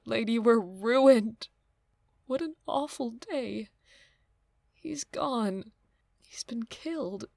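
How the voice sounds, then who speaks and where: A woman's voice: a little high, gravelly, darkish tone, no room sound, studio quality, heavily acted. A woman playing an overwrought older nurse in an audio drama, lamenting breathily and repeating herself. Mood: panicked grief. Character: wailing, overwrought older female nurse, bitter servant. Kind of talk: audio drama acting of modernized Shakespeare.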